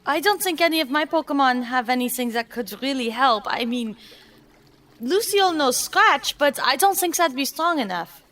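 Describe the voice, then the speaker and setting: A woman with a high voice speaks in character during a tabletop role-playing game. Her delivery is eager and wide-eyed. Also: expressive, normal speed, pushed and bright. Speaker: player.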